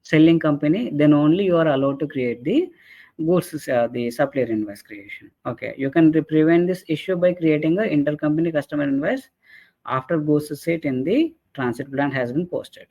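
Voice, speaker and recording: Higher-pitched man presenting, dull lo-fi audio. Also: animated. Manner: halting, repeatedly checks with "right?" and "okay". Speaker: webinar presenter, software trainer. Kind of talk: explanatory software training webinar.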